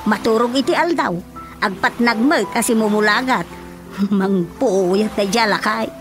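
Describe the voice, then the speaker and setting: A woman's voice: medium pitch, measured pace, close to an everyday voice. A woman with a Filipino accent playing a chatty, teasing village caretaker in a radio drama comedy, giggly and full of exclamations. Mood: amused, chatty. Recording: radio drama with music underneath. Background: clear music.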